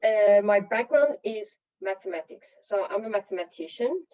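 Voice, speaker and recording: A woman's voice: mid-range voice, animated, normal speed. A woman introducing herself as a research scientist on a webinar over a video call. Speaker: panellist, research scientist, mathematician. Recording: distant, dull video-call audio.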